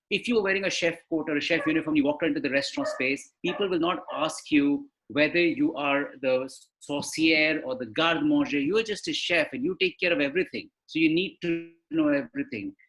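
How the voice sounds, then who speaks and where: A man's voice: on the high side, creaky, fairly bright tone, low-quality recording. A man, speaking as a panelist on a live webinar over a video call, explaining in a conversational way and asking rhetorical questions. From India.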